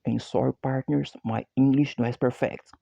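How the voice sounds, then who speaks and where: A Brazilian man with a Portuguese accent speaking halting English in a slow, repetitive, chant-like monologue. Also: medium pitch, fairly even intonation, gravelly, mellow, dry recording, highs cut off.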